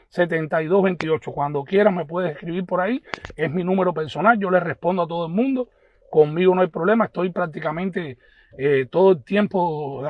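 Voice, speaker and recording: A man with a notably high voice and a Havana Cuban accent delivers live political commentary. He is emphatic and insistent, often repeating himself. The sound is dry and somewhat dull, like a lo-fi stream. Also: no echo. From Cuba. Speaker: host of an online news page.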